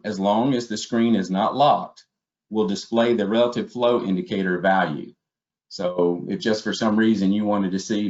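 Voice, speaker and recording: A man giving a methodical, step-by-step instructional presentation as a webinar trainer. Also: deep voice. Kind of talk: instructional slide presentation narration. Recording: dull, lo-fi webinar audio.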